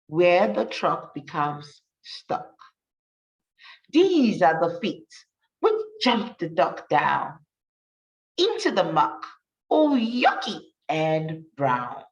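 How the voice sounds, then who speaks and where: A woman teacher reading a rhyming story for children, with an encouraging manner and deliberate, emphatic delivery. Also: fairly low pitch, recorded from a distance, rough recording. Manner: encouraging, praising, addressing children directly.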